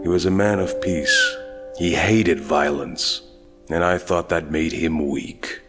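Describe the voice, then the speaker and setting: Masked vigilante, troubled ex-soldier, male actor: low, terse, brooding comic-dub read over music. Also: slow pace, fairly bright tone. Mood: bitter, brooding. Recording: music bed behind the voice.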